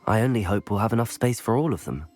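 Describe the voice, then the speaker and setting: An actor playing a polite, curious young student wizard in a video game, formal in phrasing. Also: male voice, fairly low pitch, expressive, quick pace, darkish tone.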